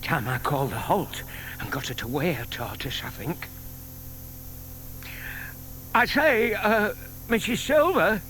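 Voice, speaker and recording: An actor playing a shy, kindly older British gentleman with a secret scheme, polite and given to muttering, in an audio drama. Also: fairly high pitch, lively intonation, measured pace, pauses often. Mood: scheming. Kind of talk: audio theatre acting. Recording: faint noise, 1990s tape dramatisation.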